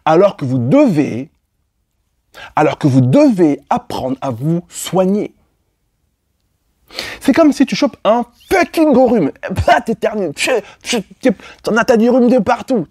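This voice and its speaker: A man's voice: high-pitched, a little mellow, no echo, studio quality. A man coaching women in a motivational monologue, blunt and profane, repeating his points and speaking straight to the listener with prodding questions. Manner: blunt and profane, with emphatic repetition. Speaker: dating and life coach for women. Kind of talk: motivational monologue addressed to viewers.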